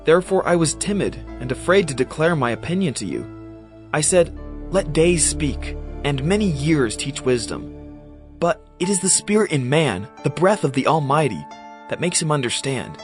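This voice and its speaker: A man's voice: fairly high pitch, very animated, moderate pace, over music. An actor playing a fervent, indignant young man who rebukes his elders in a declamatory, insistent way, in a dramatized scripture reading. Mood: earnest.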